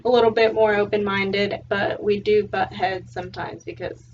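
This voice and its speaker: A woman's voice: medium pitch, steady tone, normal speed. Young woman from California, off-mic. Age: young adult. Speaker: guest. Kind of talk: casual podcast chat. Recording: distant dull lo-fi mic with faint hiss.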